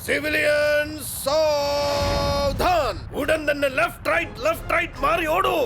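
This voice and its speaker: A man's voice: extremely high pitch, flat delivery, takes it slowly, full character voice. A man voicing a pompous, angry cartoon military man who barks drill orders. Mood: urgent, barking. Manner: barking, drill-like. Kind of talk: cartoon dubbing. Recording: loud music behind the voice.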